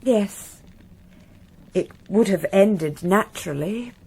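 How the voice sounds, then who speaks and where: A married woman in a naturalistic radio drama. Her voice sits at a middle pitch with a slightly husky grain. She speaks frankly and wistfully, in measured, reflective phrases. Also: animated, darkish tone, full character voice. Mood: confessional, earnest.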